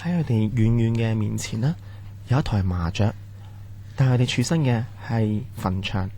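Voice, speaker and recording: A man's voice: fairly low pitch, normal speed, warm tone, slight background noise. A man with a Hong Kong Cantonese accent giving scripted narration for a radio horror drama.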